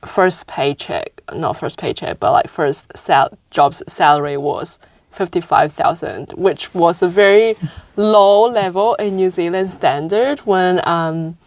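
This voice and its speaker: A woman's voice: fairly low pitch, takes it slowly, no echo. A woman guest in a casual talk who laughs through her words and corrects herself as she goes. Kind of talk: casual conversation.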